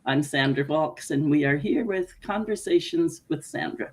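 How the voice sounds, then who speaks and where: A woman's voice: low voice, normal speed. A woman from Canada hosting a casual interview over a video call, chatty and laughing often. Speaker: podcast host.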